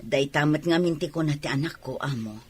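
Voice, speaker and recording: A woman's voice: deep voice, a little fast, breathy edge. A woman playing a sceptical, defensive middle-aged mother of a young athlete in a radio drama, with an Ilocano accent. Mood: earnest.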